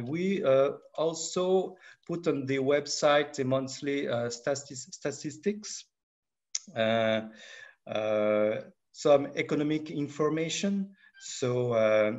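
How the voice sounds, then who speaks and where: A man giving a webinar presentation on market statistics. He speaks haltingly and fills nearly every pause with "uh". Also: medium pitch, a little mellow, dull audio. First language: French. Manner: halting, with constant filler pauses. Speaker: market analysis director presenting.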